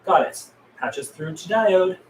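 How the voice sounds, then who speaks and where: A man playing a wry, protective and practical survivor in a drama script read, brisk and matter-of-fact. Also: high voice, lively intonation. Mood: businesslike. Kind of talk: script table read acting. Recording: echoey room with background noise.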